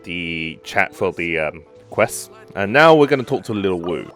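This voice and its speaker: A woman with a very low voice and lively intonation chats and jokes as she plays a story game. Her talk is casual and chatty. Game music plays quietly behind her. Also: a little slow. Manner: joking, chatty, laughs along. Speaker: gameplay commentator. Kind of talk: casual let's-play commentary.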